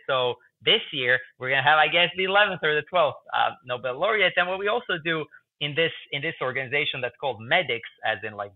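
Croatian guy in his 30s on a video call, slightly high voice, chatty with lots of fillers, thin phone-like sound. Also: no room sound. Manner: chatty, many fillers and repeats. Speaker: guest researcher. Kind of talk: casual interview answers. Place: room with whiteboard. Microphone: video call earbuds. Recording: tinny phone-like call audio, dry.

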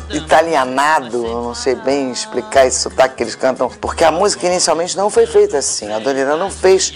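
A man giving a documentary interview, reflective and searching for the right words. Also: mid-range voice.

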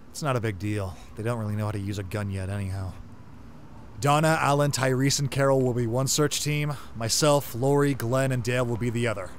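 Steady survivor leader, noisy recording man acting. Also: mid-range voice, lightly acted. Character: adult male survivor group leader, steady and practical. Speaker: group leader in a dramatized comic dub. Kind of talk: amateur comic-dub voice acting.

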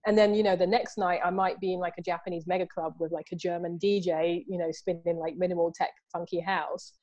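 A woman in her thirties, chatting as a guest on a video call from a room at home. Her voice sits a little low for a woman, and she talks in a loose, animated way, leaning on "you know" and "like" as she goes. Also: fairly quick, muffled recording. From Southeast London, England. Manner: animated, gestures a lot, many fillers like "you know". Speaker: artist guest. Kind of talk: casual interview over video call. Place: home room with books and artwork behind. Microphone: video call, earphones.

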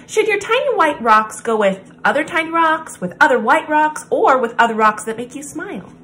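A woman presenting story time, reading a children's picture book aloud with deliberate, expressive phrasing and pauses. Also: sits high, a little hiss or hum.

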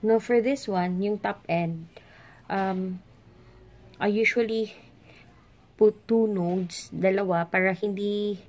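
A woman's voice: medium pitch, slow, pauses often, a little mellow. A woman with a Filipino Visayan accent, chatting casually as she demonstrates plant care at a table, mixing English with Tagalog and Bisaya. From Philippines. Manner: chatty, mixes English with Tagalog and Bisaya, talks while working with her hands. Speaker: plant vlogger. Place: indoors at a table with potted plants.

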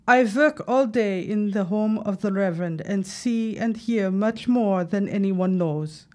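An actress plays a concerned, devoted wife who works as a cook, in an audio drama. Her voice sits at a middle pitch. Her phrasing is earnest, stilted and old-fashioned. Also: a little slow, a little mellow, close to an everyday voice. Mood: concerned, insistent.

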